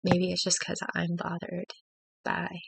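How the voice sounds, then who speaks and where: A woman who has just woken up, speaking in a gravelly voice as she talks through a personal struggle at home on her laptop, hesitant and reflective with many fillers and restarts. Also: on the low side, monotone, a little slow, fairly bright tone. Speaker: faith vlogger. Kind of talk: personal confessional talk to camera.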